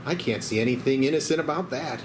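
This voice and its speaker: A man reads his part at an amateur table read. His voice sits in the middle range, and there is clear background noise. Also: mid-range voice, fast talker. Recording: noisy room recording.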